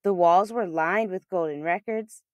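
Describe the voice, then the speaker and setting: A woman reading a children's picture book aloud as a storyteller, with expressive, emphatic delivery. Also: fairly low pitch, a little slow, dry recording, lo-fi sound.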